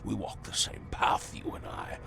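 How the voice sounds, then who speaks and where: An actor playing a haughty, zealous male cultist in a video game, speaking formally and theatrically, often sinking into a breathy murmur. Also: moderate pace, in a whisper, music in the background, natural voice. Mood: solemn. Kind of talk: dramatic video game cutscene acting.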